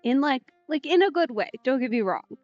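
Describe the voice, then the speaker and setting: A woman's voice: high voice, lively intonation, brisk, a little mellow, no room sound, dull audio. A woman giving casual let's play commentary as a streamer. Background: game music.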